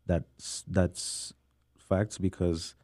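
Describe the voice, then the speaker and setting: A young man, a DJ, talking in an easygoing, measured way on a podcast. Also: sits low, flat delivery, darkish tone, no echo, studio quality. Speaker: podcast guest, DJ. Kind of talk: casual podcast chat.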